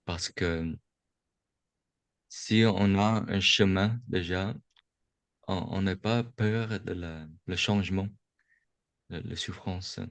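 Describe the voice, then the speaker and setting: A man from the United States gives a reflective Buddhist talk to young adults over a video call, slow and halting, often restarting his sentences. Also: sits low, fairly even intonation, no echo. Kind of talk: reflective dharma talk on a webinar. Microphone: video call microphone.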